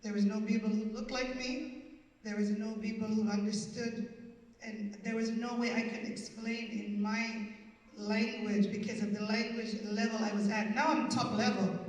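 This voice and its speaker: A woman's voice: a little high, flat delivery, moderate pace. A woman in her fifties, a comedian and storyteller, speaking live on stage. She talks with emotional, joking, broken English phrasing. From Canada. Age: 50s. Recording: echoey hall, far from mic.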